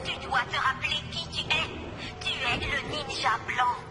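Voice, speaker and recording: Woman as a reassuring, high-voiced android companion. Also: fairly even intonation, normal speed, natural voice. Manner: coaxing, reassuring, precise. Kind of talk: dubbed cartoon drama. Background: loud music.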